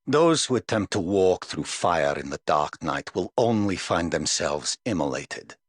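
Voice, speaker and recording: A weary, husky old-guard knight. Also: male voice, deep voice, big pitch swings, no echo, heavily acted. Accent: English. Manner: dry, world-weary, measured. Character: weary aloof middle-aged male knight. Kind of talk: game voice lines.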